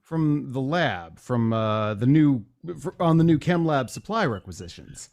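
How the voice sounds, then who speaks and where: A man's voice: medium pitch, lively intonation, measured pace, a little mellow. A man playing a tongue-tied young lieutenant in a fan audio drama, hesitant and stammering. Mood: nervous. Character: nervous, tongue-tied newly assigned young male lieutenant.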